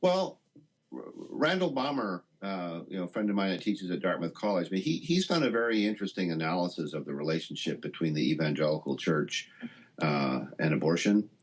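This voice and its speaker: A man, a professor being interviewed, explaining things conversationally and haltingly as he thinks aloud. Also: sits low, big pitch swings, breathy edge. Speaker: interview guest, professor of science and religion.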